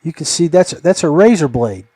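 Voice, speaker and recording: Older man, dry close sound, slightly higher voice. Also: expressive, moderate pace, mellow, husky, no room sound. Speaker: fishing podcast host. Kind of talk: casual fishing talk.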